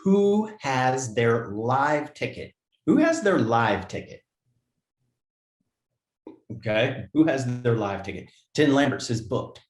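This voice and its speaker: A man's voice: medium pitch, very animated, takes it slowly, pauses often. A man co-hosting a company livestream in a casual, upbeat way, reading names from the chat and laughing often. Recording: echoey room.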